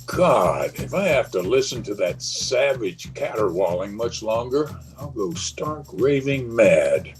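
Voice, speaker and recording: A sour, restless husband acted by a man in an old-time radio play. His low voice swings widely with irritation and sarcasm, over music. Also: sing-song, measured pace, few pauses. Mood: bitter sarcasm. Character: bored, bitter, sarcastic husband. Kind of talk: 1930s radio drama acting.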